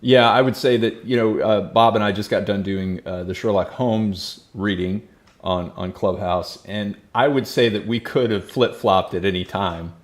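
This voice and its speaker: A man's voice: fairly low pitch, moderate pace. A man hosting a podcast, chatting casually with many restarts. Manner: chatty, restarts often, laughs easily. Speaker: podcast host. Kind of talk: casual conversation over a call.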